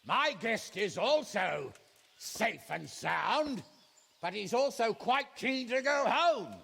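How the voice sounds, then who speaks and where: High-shouting, gravelly noble knight. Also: male voice, sing-song, slow, in a large hall, full character voice. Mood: firm, formal. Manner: declamatory, shouting across a distance, formal. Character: commanding male noble knight negotiating a siege. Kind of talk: cinematic video game acting.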